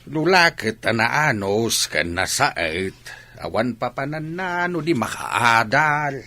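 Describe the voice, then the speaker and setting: A man's voice: medium pitch, expressive, slow pace, bright, forceful tone, exaggerated voice. A man with an Ilocano accent playing a patient village fisherman father in a radio drama. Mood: concerned.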